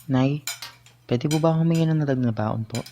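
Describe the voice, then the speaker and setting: A man's voice: a little high, normal speed, mellow, lightly acted. Cheeky college-boy younger brother acted by a man. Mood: polite, pleading. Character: cheeky college-freshman younger brother, tattling on his sister. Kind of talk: amateur radio drama acting. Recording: faint noise, clicks and clatter.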